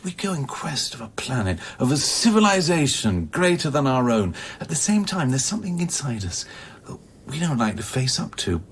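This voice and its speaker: An actor in a radio play, playing a bitter, volatile space-station scientist who philosophizes intensely and haltingly, dropping at times toward a breathy near-whisper. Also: male voice, mid-range voice, very animated. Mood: bitter, impassioned. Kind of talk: dramatic radio play acting.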